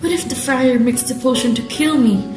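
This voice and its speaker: A woman's voice: sits high, moderate pace, natural voice. A young woman playing a troubled daughter, outwardly obedient and inwardly fearful, in a student radio drama. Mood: fearful, desperate. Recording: roomy echo, music underneath.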